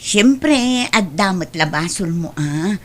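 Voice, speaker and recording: A woman's voice: on the low side, animated, slow, a little hiss or hum. An actress playing an outspoken neighbour woman in a radio-style comedy drama, speaking in an emphatic, admonishing way. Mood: concerned, admonishing. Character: outspoken neighbour woman, scolding yet concerned.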